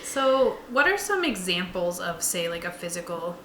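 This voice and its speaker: Woman co-host chatting casually, bright voice, agreeable, echoey room with faint noise. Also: fairly low pitch, big pitch swings, moderate pace. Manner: agreeable, brief interjections. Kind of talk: casual chat.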